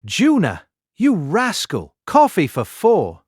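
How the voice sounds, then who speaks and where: An actor playing an older police inspector in an audiobook drama, gruff and hearty, with a teasing fatherly manner. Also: sits high, wildly swooping pitch, slow, a little mellow, no echo, clean studio sound, exaggerated voice. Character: genial, irritable old police inspector, father of grown son.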